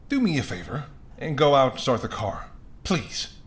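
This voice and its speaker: A man's voice: mid-range voice, big pitch swings, normal speed, close to an everyday voice. A man playing an apologetic, wry and courteous male mafia boss in an audio roleplay, speaking conversationally and sardonically straight to the listener. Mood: exasperated, sardonic. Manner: conversational, sardonic, exasperated, softening into polite charm.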